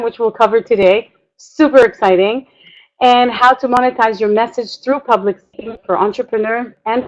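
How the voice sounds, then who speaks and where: Middle-aged female coach from Canada, higher-pitched, enthusiastic informal webinar delivery, muffled lo-fi audio. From Montreal, Canada; born in Israel. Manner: enthusiastic, chatty, halting with self-interruptions. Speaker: business coach and public speaker. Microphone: online webinar audio. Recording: dull lo-fi web conference audio.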